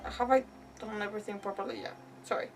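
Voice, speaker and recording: A woman's voice: medium pitch, fairly even intonation, fast talker, creaky. A woman game streamer chatting casually and commenting on her play in a rambling, hesitant way. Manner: rambling, hesitant, mild substitute swearwords. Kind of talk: casual livestream chat, gameplay commentary. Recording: distant mic, faint music behind. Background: faint game music.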